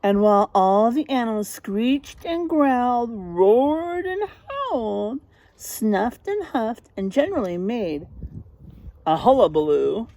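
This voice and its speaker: A woman reading a picture book aloud like a teacher, expressive and dramatic, pausing to put questions to young listeners. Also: fairly high pitch. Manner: animated, dramatic delivery with drawn-out words.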